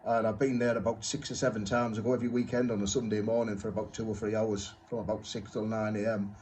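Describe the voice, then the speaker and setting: A man chatting as an amateur radio operator, speaking in short, halting pieces. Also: fairly low pitch, little pitch movement, a little mellow, slight background noise. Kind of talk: unscripted ham radio contact chat.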